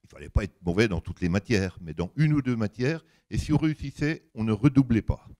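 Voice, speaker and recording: An older man with a big voice giving an anecdotal public talk, colloquial and emphatic, acting out bits of dialogue as he tells it. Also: brisk. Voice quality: big deep voice. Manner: animated storytelling, colloquial, emphatic, acts out reported dialogue.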